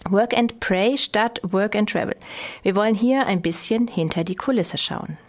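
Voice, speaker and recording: A woman's voice: mid-range voice, moderate pace. Woman narrating a scripted radio feature. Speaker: radio presenter.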